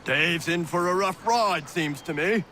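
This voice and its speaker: An actor playing a gossiping colonial soldier in a video game, casual and clipped. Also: male voice, sits high, unhurried, pushed and bright, slight background noise. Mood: gossipy.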